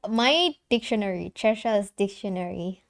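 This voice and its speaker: Young woman streamer, light soprano voice, chatty and giggly, talks slow and stumbles over words. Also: mid-range voice, animated, vocal fry. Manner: chatty, giggly, halting with many fillers and restarts. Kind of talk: casual live-stream chat.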